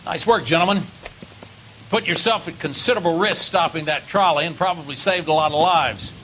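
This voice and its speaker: Bright, forceful police captain. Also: male voice, fairly high pitch, brisk, slight background noise, rough recording. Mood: gruff approval. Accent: American. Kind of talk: dramatic video game acting.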